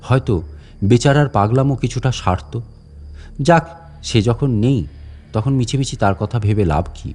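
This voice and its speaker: A man's voice: mid-range voice, a little mellow, natural voice. Man voicing a menacing, falsely polite old caretaker. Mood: quietly menacing. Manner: deferential address, sly rhetorical questions, slow menace. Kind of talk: dramatic audiobook horror monologue.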